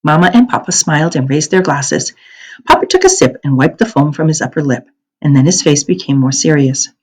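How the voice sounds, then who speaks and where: A woman reading a children's novel aloud as an expressive narrator. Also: low-pitched, fairly quick, breathy edge, rough recording.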